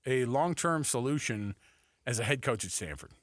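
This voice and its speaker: A man with a mid-pitched voice hosts casual sports talk in a very dry studio. He speaks conversationally and often repeats a phrase to drive it home. The microphone is close. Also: animated, moderate pace, no room sound. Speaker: sports radio host. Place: radio studio.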